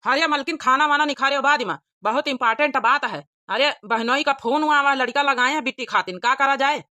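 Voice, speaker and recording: A woman's voice: sits high, brisk, sharp tone, dry recording. A woman voicing a poor, worried farmer father in a cartoon comedy, rustic and plain-spoken with an Awadhi accent. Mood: urgent. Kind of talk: cartoon village comedy dialogue.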